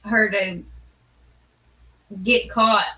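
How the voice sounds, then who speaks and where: A woman's voice: mid-range voice, steady tone, very slow, bright, forceful tone, muffled recording. A woman giving reaction commentary as a co-commentator on a livestream. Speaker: live stream co-commentator.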